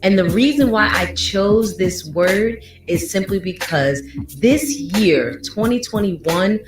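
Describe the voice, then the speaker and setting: Slow, deliberate woman with music behind. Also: mid-range voice, expressive, reverberant. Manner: deliberate, affirming. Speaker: podcast host giving a guest message. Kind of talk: prepared statement.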